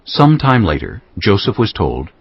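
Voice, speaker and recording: A man narrating scripture as a dramatized story, in a husky voice with measured storytelling phrasing. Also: lowish voice, wildly swooping pitch, no room sound, highs cut off.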